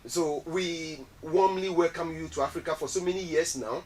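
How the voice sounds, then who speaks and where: A man's voice: fairly high pitch, moderate pace, darkish tone, a little hiss or hum. A man hosting a casual studio interview, welcoming his guest and echoing answers back as questions. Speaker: interviewer and cultural festival host. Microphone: studio microphone.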